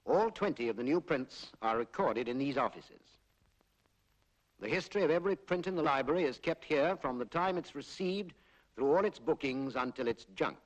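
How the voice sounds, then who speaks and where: A British man narrating a 1940s public information film in a formal, measured, explanatory way, on a period film soundtrack. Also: medium pitch, animated, poor audio. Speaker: documentary narrator.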